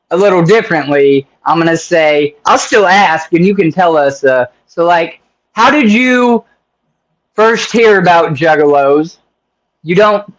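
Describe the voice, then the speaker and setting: High-voiced, lively male interview host. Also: muffled recording. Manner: loose, joking, rambling with many fillers. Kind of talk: casual interview.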